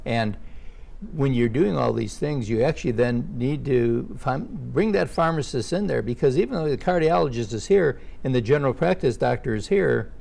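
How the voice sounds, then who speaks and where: Older male host, gravelly, conversational, steers a health chat with questions. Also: mid-range voice, expressive, normal speed, darkish tone. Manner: chatty, leading questions with personal asides. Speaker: show host. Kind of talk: casual health interview.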